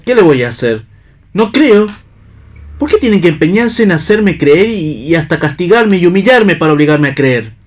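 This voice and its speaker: A man's voice: high voice, big pitch swings, normal speed. A man acting a disillusioned, ironic husband in a radio play, speaking in a literary, argumentative way. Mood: impassioned protest. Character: cynical, pipe-smoking husband, disillusioned and philosophical unbeliever. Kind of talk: staged radio play reading.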